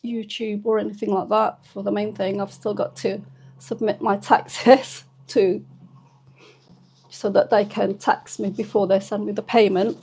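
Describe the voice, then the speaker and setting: A woman in her fifties hosting a casual live stream. She is emotional and a little tearful, and talks softly and hesitantly, with many pauses and fillers. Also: medium pitch, flat delivery, breathy edge, poor audio. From United Kingdom. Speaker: channel host.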